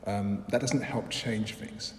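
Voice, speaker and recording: Low-voiced man in an echoey hall. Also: steady tone. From London, England. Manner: thoughtful, measured, frequent "um" and restarts. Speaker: interviewee, filmmaker and lecturer. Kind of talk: reflective interview answers. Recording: roomy echo with long reverb, faint background noise.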